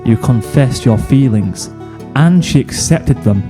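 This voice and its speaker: A man's voice: mid-range voice, lively intonation, takes it slowly. A man reading a story aloud as a scripted narration, in the role of fan fiction narrator. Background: music.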